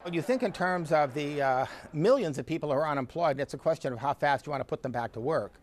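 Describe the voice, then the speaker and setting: A man's voice: fairly high pitch, animated, fast. A man giving explanatory commentary in an interview.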